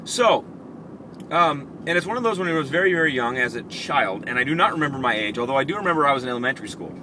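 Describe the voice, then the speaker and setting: A man hosting a casual vlog, telling personal stories in an animated, reflective, conversational way. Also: medium pitch, quick pace. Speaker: vlog host. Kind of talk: casual personal storytelling to camera. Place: in a car. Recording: clear vehicle and street noise.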